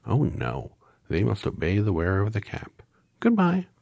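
A high, squeaky little mouse queen from a storybook, as a deep-voiced man puts her on. The voice sits far above his own and bounces around in pitch. The delivery is close and dry. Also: huge pitch swings, moderate pace, vocal fry, a little mellow, no echo, heavily acted. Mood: kindly. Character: squeaky tiny queen of the mice.

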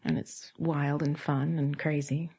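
A woman with a breathy, often whispered voice, softly and haltingly narrating an intimate first-person erotic roleplay straight to the listener. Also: low voice, little pitch movement. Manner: soft, halting, confiding delivery. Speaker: audio erotica performer.

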